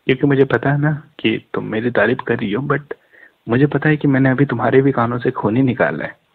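Guy with a husky low-ish voice teasing on a phone call, muffled lo-fi sound. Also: fairly quick. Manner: teasing, joking. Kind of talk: casual phone chat. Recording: dull lo-fi phone call recording.

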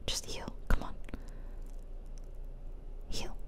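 A woman giving whispered ASMR game commentary with playful, casual asides, recorded close to the microphone. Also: drawn-out words. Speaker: gaming streamer. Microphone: close ASMR microphone, ear to ear.

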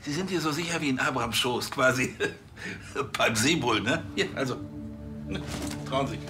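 An actor playing a smug, boastful police chief in a TV crime comedy, with a pompous, hemming manner. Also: male voice, medium pitch, moderate pace, over music, lightly acted. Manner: pompous, hemming, self-important.

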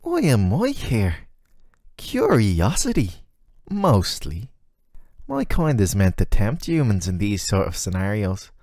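A man's voice: on the low side, huge pitch swings, takes it slowly, darkish tone, no room sound, clean studio sound, full character voice. A man voicing a flirty, sardonic, ageless male demon who teases the listener in casual, slangy, intimate roleplay audio. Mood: wry, joking.

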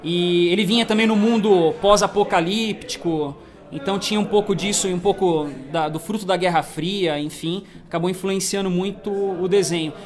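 A man's voice: high-pitched, fairly even intonation, normal speed. A man from Brazil at a collectors' table chat, explaining cartoon history and peppering his talk with tag questions. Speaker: toy collector guest. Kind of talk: casual panel chat. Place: indoor room, seated at a table of toys.

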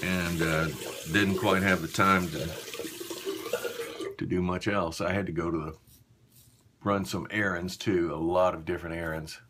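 A man with a notably deep voice chats in an unhurried, halting way, as if half busy with something. He speaks casually to his viewers from a small bathroom. There is light background noise of running water. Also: steady tone. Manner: unhurried, halting, talking while busy with a task. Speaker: shaving vlogger. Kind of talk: casual chat to viewers while shaving.

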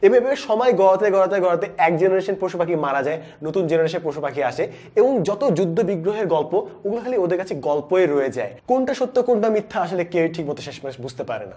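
A man in his twenties with a Bangladeshi accent, presenting a book review in an animated, explanatory way with English words mixed in. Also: sits high. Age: 20s. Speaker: book reviewer, educational video host. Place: indoor studio with plain backdrop. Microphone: lapel mic.

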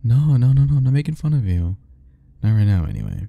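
A man's voice: medium pitch, wildly swooping pitch, quick pace, creaky, muffled recording. A young man speaking a playful, teasing ASMR roleplay straight to the listener, laughing often between lines.

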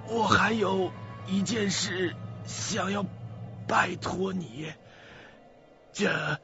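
An actor playing a frail, dying old man in a radio drama, pleading in halting, laboured phrases. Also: sits high, a bit bright, full character voice. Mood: weak, pleading. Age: elderly. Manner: halting, weak, laboured speech. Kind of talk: Mandarin radio drama acting. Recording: dull lo-fi audio with faint music.

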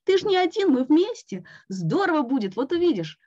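A woman voicing an excitable, cheerful male frog in a storybook reading, coaxing his friend and exclaiming often. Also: medium pitch, normal speed, slightly rough. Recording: dull lo-fi recording.

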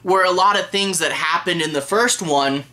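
A man's voice: high-pitched, normal speed, pushed and bright. A man in his thirties chatting enthusiastically and casually to camera as a film reviewer. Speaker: movie reviewer, host. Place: small room lined with DVD shelves.

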